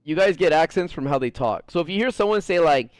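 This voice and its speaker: An American man streaming a game and chatting casually, animated and swearing freely, with frequent laughs. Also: fairly high pitch, fast, no echo. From United States. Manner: animated, profane, laughs often. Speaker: gaming streamer. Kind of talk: casual chat while gaming.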